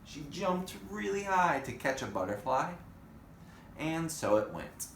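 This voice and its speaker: A man's voice: on the high side, very animated, a little slow, creaky, a bit bright. A man reading a storybook aloud to children, expressively, with chatty asides to his young listeners. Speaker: storybook reader. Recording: echoey room, faint background noise.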